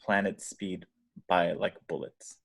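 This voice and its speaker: Deep voiced guy reading script action lines, dramatic narration, deliberate. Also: steady tone, vocal fry. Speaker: stage directions reader.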